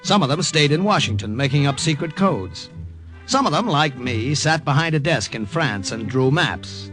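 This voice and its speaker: An actor plays a cocky little army sergeant from a 1940s radio play. His voice sits a little high and moves animatedly as he talks in a wry, colloquial way. Music plays behind the old broadcast. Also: animated, normal speed, natural voice. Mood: wry storytelling. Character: short, cocky wartime army sergeant and cartoonist, wry narrator. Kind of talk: 1940s radio acting, first-person narration and dialogue.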